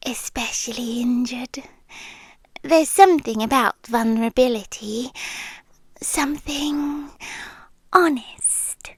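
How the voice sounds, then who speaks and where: A British woman playing an obsessive, softly menacing girlfriend captor in an ASMR roleplay, hushed and deliberate, often slipping into whispers. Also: high-pitched, no echo, exaggerated voice. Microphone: close microphone, dry.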